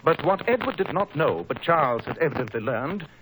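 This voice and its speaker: A man's voice: on the high side, sing-song, a little fast. A man playing a shrewd, self-assured detective with precise, authoritative diction, in a 1940s radio mystery style. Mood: triumphant, explanatory. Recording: noisy old 1940s radio recording.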